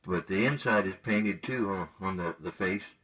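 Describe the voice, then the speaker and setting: A man with a low voice talks casually as a radio hobbyist. He is laid-back and colloquial, speaking in everyday words about equipment. The pitch sits well down in the male range. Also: normal speed, fairly bright tone, slight background noise.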